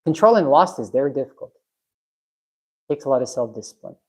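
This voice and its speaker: A woman's voice: rumbling low, lively intonation, fast talker, a little mellow, slightly rough. A woman from Russia, chatting frankly on her own live stream from her garage and answering viewers' comments. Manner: frank, blunt, restarts often. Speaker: livestream host. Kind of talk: casual live stream chat, reading comments. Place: home garage.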